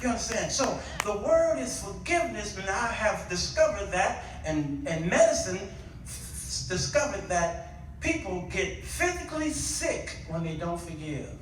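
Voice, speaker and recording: High-pitched man preaching in an echoey church. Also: very animated, slight background noise. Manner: exhorting, punctuating points with pauses and rhetorical questions. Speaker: guest preacher. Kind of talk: impassioned church sermon. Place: large echoey indoor church with congregation. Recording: echoey church, distant mic, congregation responding.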